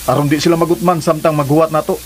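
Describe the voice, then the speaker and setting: An actor playing a persistent, generous young suitor with a Cebuano accent in a radio drama, talking in a casual, coaxing way. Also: male voice, a little high, fairly even intonation, racing, close to an everyday voice. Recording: hissy recording, faint crackle.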